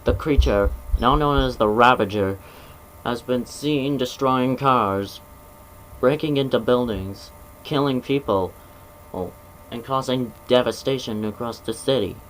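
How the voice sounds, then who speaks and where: Guy reading a fake emergency alert, stiff official tone, stumbles and restarts, average pitch, bit of faint noise behind. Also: measured pace, poor audio.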